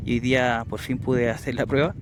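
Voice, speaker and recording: A man's voice: medium pitch, flat delivery, fairly quick. A man with a Chilean accent talking courteously in a casual outdoor vlog while operating a ham radio. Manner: courteous, uses radio call signs and phonetic alphabet. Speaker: amateur radio operator and vlogger. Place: outdoors on a windy hilltop.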